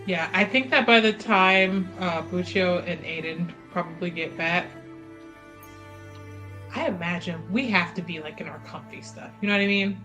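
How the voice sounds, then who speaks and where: A woman's voice: lowish voice, normal speed, bright, forceful tone, dull audio, faint music behind. A woman playing a tabletop game, chatting casually, joking and laughing often. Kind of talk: casual chat while playing.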